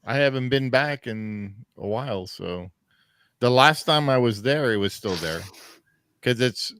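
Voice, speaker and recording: A man's voice: mid-range voice, lively intonation, unhurried, a bit bright, smooth, dry recording, clean studio sound. A man chatting on a live stream, hesitant and searching for words with frequent ums. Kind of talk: casual chat.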